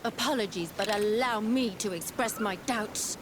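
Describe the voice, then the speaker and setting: A woman's voice: medium pitch, moderate pace, close to an everyday voice. A woman playing a guarded, self-assured scholar in a video game, sardonic and articulate with formal phrasing. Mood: wary. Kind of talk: video game cutscene acting. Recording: clear ambient noise behind.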